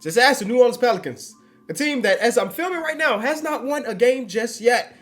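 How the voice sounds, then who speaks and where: A man's voice: very high voice, expressive, fairly quick, a bit bright. A congested man giving casual, slangy commentary while playing a basketball video game. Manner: loose, slangy and upbeat. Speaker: gaming YouTuber.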